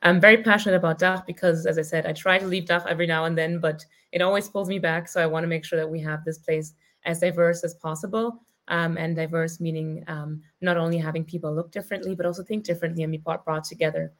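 A woman's voice: fairly low pitch, steady tone, quick pace, hardly any pauses, fairly bright tone. A woman from Germany giving an upbeat self-introduction on a video call, with frequent ums. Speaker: community co-founder.

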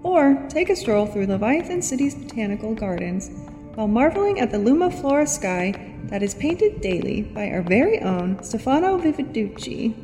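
An actress playing a polished, reassuring public announcer in an audio drama, speaking formally and courteously. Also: female voice, on the high side, sing-song, moderate pace, dark tone. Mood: inviting, promotional. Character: polished, reassuring female welcome announcer of an undersea city. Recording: long-reverb announcement over light music.

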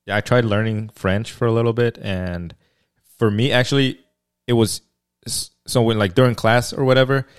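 A man from Mexico hosting a casual podcast chat, speaking unaccented English in a relaxed, hesitant way with many fillers and restarts. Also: mid-range voice, moderate pace, clear, resonant tone. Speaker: podcast host.

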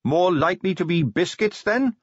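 A man's voice: high-pitched, lively intonation, normal speed, slightly rough, no echo, muffled recording, voice pushed up. A man voicing an earnest, by-the-book watch captain in a fantasy audiobook, speaking politely, carefully and formally. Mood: patient, puzzled.

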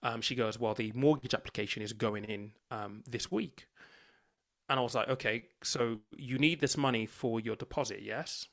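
A man's voice: mid-range voice, moderate pace, creaky, a bit bright. A man based in the UK, a financial coach and podcast guest, telling stories earnestly and acting out his own conversations. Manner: earnest, explanatory, acts out dialogue. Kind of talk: conversational storytelling.

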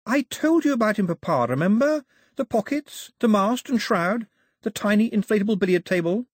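A man's voice: high-pitched, lively intonation, moderate pace, no echo, studio quality, voice pushed up. A man voicing a spirited young princess, eager and polite, in an audiobook story. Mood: insistent.

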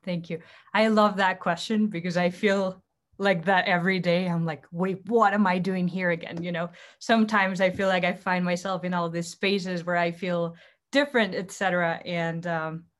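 A woman's voice: fairly low pitch, fairly even intonation, moderate pace. A woman from Costa Rica, a native Spanish speaker, speaking about herself as a panelist in a discussion. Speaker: panelist, startup co-founder. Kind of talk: panel discussion, personal account.

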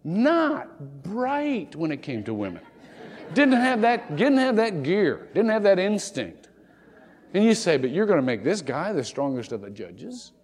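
A pastor preaching a sermon, a man with a high voice that swings up and down a lot. He tells his stories in a folksy, humorous way and slips into little acted-out voices along the way. The sound carries a long reverb from a large hall. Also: sing-song, unhurried, darkish tone, breathy edge.

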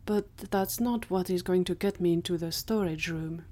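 A woman's voice: fairly low pitch, normal speed, mellow, close to an everyday voice. A woman voicing a tiny male scientist, earnest and easily flustered, with formal, courteous manners, in an audio story. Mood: polite, flustered.

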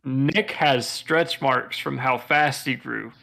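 A man's voice: mid-range voice, slow pace, creaky, pushed and bright, poor audio. A young man co-hosting a casual podcast, teasing his friends with crude jokes.

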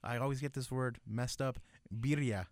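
A man's voice: medium pitch, fairly even intonation, normal speed, dry recording, studio quality. A man co-hosting a casual food chat, talking in a laid-back, slangy way. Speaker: podcast co-host.

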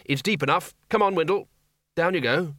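A man's voice: on the high side, moderate pace, a bit bright, dry recording, studio quality, pitched above the performer's own voice, lightly acted. A man voicing a brusque, irritable male head wizard from a storybook, impatient and bossy. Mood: brisk.

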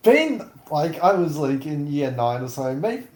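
A man's voice: a little high, very animated, unhurried, darkish tone, roomy sound. A young man telling stories in a casual podcast chat, giggling and making fun of himself. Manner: giggly, self-mocking storyteller. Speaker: podcast co-host.

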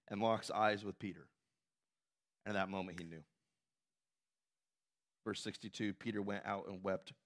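A man preaching a sermon as pastor, conversational, often asking "right?" and acting out quotes with a raised voice. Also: fairly low pitch. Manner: animated, acts out quotes with raised voice.

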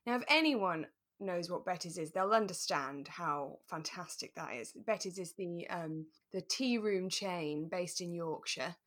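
A woman co-hosting a relaxed, casual chat podcast, talking in an effusive, conversational way. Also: fairly low pitch, a little slow. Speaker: podcast co-host. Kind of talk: relaxed casual chat about a radio drama.

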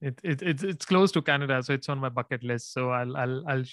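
A man's voice: mid-range voice, fairly quick, fairly bright tone, dry recording, studio quality. A man hosting an interview in a friendly way, often repeating words and using non-native phrasing. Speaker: interview host. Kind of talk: interview conversation.

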